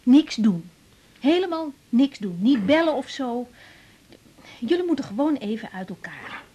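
An actress playing a young woman doctor in a radio drama, firm and quick to take charge. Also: sits high, sing-song, mellow, slightly rough, muffled recording, full character voice. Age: young adult. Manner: firm, brisk, takes charge. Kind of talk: 1980s radio drama acting.

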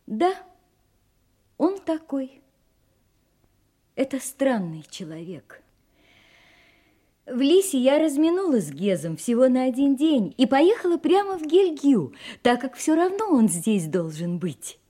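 An actress playing a refined, self-possessed young gentlewoman, candid and faintly mysterious, in an audio drama, speaking elegantly with dramatic pauses. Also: high voice, big pitch swings, full character voice. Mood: confiding, troubled. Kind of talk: Russian audio drama acting.

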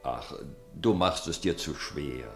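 An actor playing a poor, gruff but tender-hearted father in a 1950s radio play. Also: very deep voice, slow pace, heavily acted. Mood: reassuring. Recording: roomy old radio recording with faint music.

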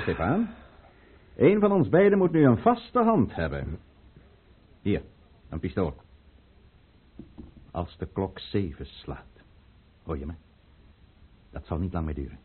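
Proud conspirator count: low, slightly husky, theatrical and commanding, on vintage narrow-band radio drama. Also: male voice, big pitch swings, a little slow, long pauses, full character voice. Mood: commanding, brooding. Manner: grave, commanding, theatrical delivery. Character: proud, resolute count, a conspirator serving Russia. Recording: muffled, narrow-band old radio play recording.

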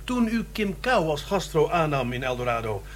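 An actor playing a polite, persistent police detective in a radio drama, speaking formally. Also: male voice, fairly high pitch, big pitch swings, moderate pace, a little hiss or hum. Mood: polite, insistent. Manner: formal, courteous.

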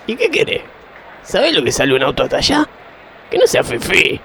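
A man's voice: high voice, wildly swooping pitch, a little fast, vocal fry, sharp tone, slight background noise, full character voice. A man playing a defensive Argentine sidekick in a comic radio play.

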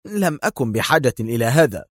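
Guy as a super cool spy hero in an audiobook, mocking, relaxed and sure of himself. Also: medium pitch, no echo, studio quality. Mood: calm amused. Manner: sardonic, unhurried, simple. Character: cool, mocking, self-assured male secret agent.

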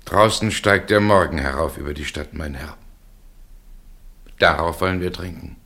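Deep-voiced, despairing reluctant killer. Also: male voice, normal speed, pushed and bright, heavily acted. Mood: resigned. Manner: solemn, weighty short statements. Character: Pedro, grave man turned unwilling murderer, despairing. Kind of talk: 1960s German radio play acting.

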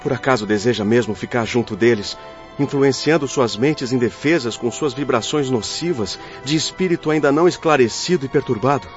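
A man plays a stern, compassionate guardian in a radio drama. He speaks in a mid-pitched voice with firm, formal, admonishing delivery. The recording is dull, with music underneath. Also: fairly quick, lightly acted. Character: stern yet compassionate male guardian spirit.

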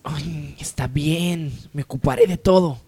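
A man's voice: a little high, unhurried, mellow, natural voice. A man playing a jealous, bossy rich woman in a parody radio soap, melodramatic and haughty. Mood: pleading, resigned.

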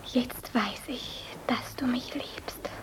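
An actress in a German radio play, playing an ardent, dreamy young woman in love, wistful and breathy. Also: a little high, slow, in a whisper. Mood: tender. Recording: noisy old radio play recording.

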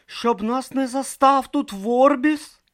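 A man's voice: extremely high pitch, slow, a bit bright, voice pushed up, exaggerated voice. A man voicing a timid, earnest young male novice monk who speaks hesitantly and anxiously.

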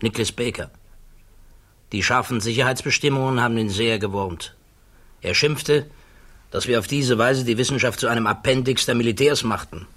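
A reflective scientist played by a male actor testifies in a radio drama. His voice is of middle pitch, with thoughtful, measured phrasing. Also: a bit bright, close to an everyday voice. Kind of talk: radio play acting.

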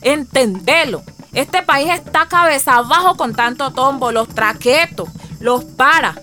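Radio-play heroine: defiant activist, Colombian accent, plucky, sharp high voice, music underneath. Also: female voice, expressive, unhurried, full character voice. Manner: emphatic, plucky. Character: defiant, fiery woman community activist. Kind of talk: radio drama acting.

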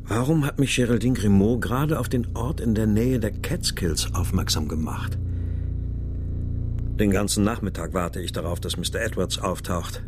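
An actor playing a troubled husband searching for his missing family, narrating and speaking in a measured, brooding way in a radio crime drama. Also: on the low side, background noise, natural voice. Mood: weary, reflective. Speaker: lead actor and first-person narrator.

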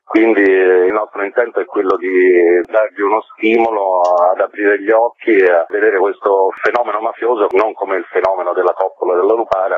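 A man's voice: deep voice, breathy edge. A man from Sicily explaining his theatre project as an interview guest, heard over a phone line. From Sicily, Italy. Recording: tinny phone line, roomy echo.

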